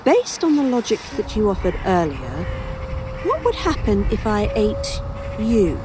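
A woman's voice: mid-range voice, wildly swooping pitch, measured pace, background noise. A woman playing an inquisitive, wry author in an audio drama, rambling and musing as she talks. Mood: defiant, wry.

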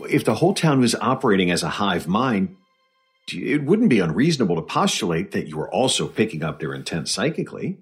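A patient male doctor gently prods a witness in an audio drama. His fairly low voice moves with very lively intonation and a clinical, measured manner, over faint music. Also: breathy edge, full character voice. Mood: speculative.

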